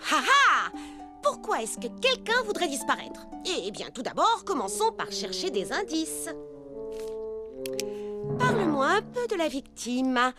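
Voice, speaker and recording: A woman's voice: sits high, wildly swooping pitch, unhurried, full character voice. A woman playing a bookish, self-assured amateur girl detective in a children's cartoon, with a pompous, dramatic delivery. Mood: self-assured, inquisitive. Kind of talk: children's cartoon dub acting. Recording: music behind the voice.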